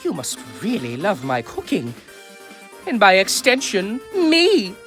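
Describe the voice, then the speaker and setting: A man voicing a vain, naive cartoon skeleton from a video game, with a grandiose, theatrical delivery that jumps between hushed asides and loud exclamations. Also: sits high, huge pitch swings, takes it slowly, heavily acted. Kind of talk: amateur video game character dub. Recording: voice over background music.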